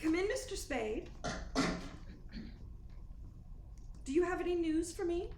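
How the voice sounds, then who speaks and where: A woman's voice: very high voice, expressive, a little fast. A woman playing a demure, anxious, scheming femme fatale in a radio play, with a theatrical, hesitant, pleading manner. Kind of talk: radio play acting in 1940s noir manner. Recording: roomy, echoey sound with faint noise.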